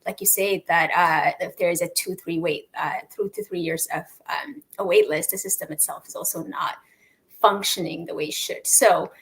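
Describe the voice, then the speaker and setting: A woman from Ethiopia hosting a panel discussion, speaking reflectively with many pauses and fillers. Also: lowish voice, fairly even intonation, rough recording. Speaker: host.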